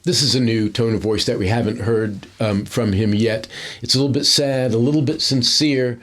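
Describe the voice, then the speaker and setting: Male film commentator, medium pitch, conversational and analytical, explaining scenes. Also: fairly even intonation, moderate pace, fairly bright tone.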